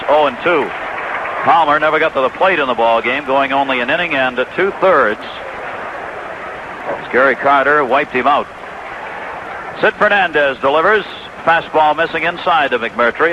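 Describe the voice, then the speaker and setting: A man's voice: medium pitch, moderate pace. Guy doing 80s baseball radio play by play, short clipped phrases, muffled sound w lots of stadium noise. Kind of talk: live 1980s radio sports commentary. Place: stadium broadcast booth. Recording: dull, noisy old AM radio broadcast.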